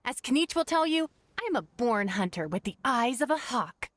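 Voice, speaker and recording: A woman's voice: on the high side, very animated, normal speed. A woman playing a confident, boastful young hunter in a fantasy video game.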